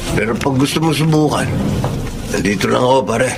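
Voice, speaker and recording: Guy doing a shady driver buddy, gravelly, sweet-talking, music under it. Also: medium pitch, fairly quick, natural voice. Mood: coaxing. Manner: coaxing, hushed and persuasive. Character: sly co-driver pushing drugs on a friend. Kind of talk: radio drama acting.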